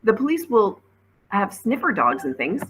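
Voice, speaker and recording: A woman's voice: medium pitch, sing-song, moderate pace, fairly bright tone, a little hiss or hum, pitched above the performer's own voice. A woman voicing a practical, skeptical, impatient schoolboy in a storybook, blunt and questioning. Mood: decisive.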